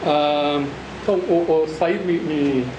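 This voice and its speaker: A man's voice: a little high, steady tone, takes it slowly. Chatty man introducing a speaker, echoey hall. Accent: Argentine Spanish. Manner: joking, chatty. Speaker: session chair introducing the speaker. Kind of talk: informal introduction at a colloquium. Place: lecture hall. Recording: echoey noisy lecture hall.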